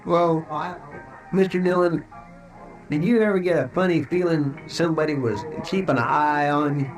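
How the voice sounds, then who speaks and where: A man's voice: fairly high pitch, moderate pace, faint music behind, lightly acted, low-quality recording. A man acting an anxious, folksy American sidekick in an old Western radio drama, with a rustic, fretful drawl. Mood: uneasy. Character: anxious, folksy male sidekick of a frontier marshal.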